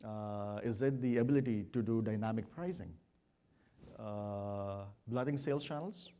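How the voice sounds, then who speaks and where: A man presenting at a business conference and addressing his audience, pausing often with "uh". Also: fairly low pitch, mellow. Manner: measured, many "uh" fillers. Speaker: consulting presenter.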